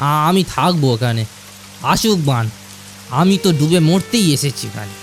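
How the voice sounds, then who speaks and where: A man's voice: fairly high pitch, lively intonation, normal speed, soft background music. A man voicing a poor, self-pitying fisherman in a fairy-tale cartoon, lamenting and hesitant. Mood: despairing.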